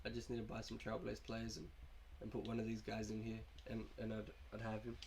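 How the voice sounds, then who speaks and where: A man's voice: lowish voice, completely flat delivery, fairly quick, creaky, a little mellow, slight background noise. A young man with a cold, casually talking through his video game team in a laid-back, rambling way. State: sick, coughing. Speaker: gaming YouTuber. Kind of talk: casual gameplay commentary.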